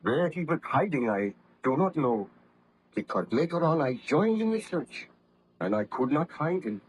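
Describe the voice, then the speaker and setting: Haunted scientist baron, highish husky voice, melodramatic, formal, guilt-ridden, old radio horror drama. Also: male voice, big pitch swings, moderate pace. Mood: heavy, narrating. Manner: melodramatic, formal, fervent declamation. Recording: vintage radio drama recording, slightly muffled.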